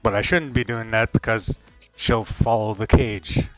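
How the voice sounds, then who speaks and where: A man's voice: fairly low pitch, fairly even intonation, a little slow. A man chatting casually in a role-play session, telling stories in loose, repetitive phrases. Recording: music playing behind the voice. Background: music.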